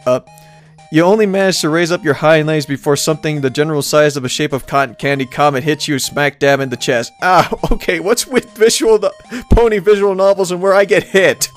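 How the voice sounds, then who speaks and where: A man's voice: on the high side, steady tone, a little fast, soft background music. A man playing and reading a visual novel aloud as a let's play host, with playful, mock-dramatic reactions.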